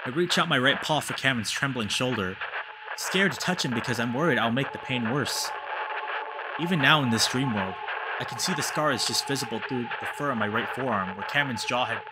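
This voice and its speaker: A man voicing a haunted, grieving animal-man who narrates a visual novel in a hushed, grave, measured way. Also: mid-range voice, heavy background noise, lightly acted. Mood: grieving, shaken.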